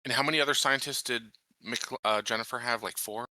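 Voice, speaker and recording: A man's voice: mid-range voice, brisk, sharp tone, no room sound, poor audio. A man playing a tabletop roleplaying game, talking through plans and questions in casual chat. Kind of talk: casual in-game planning talk.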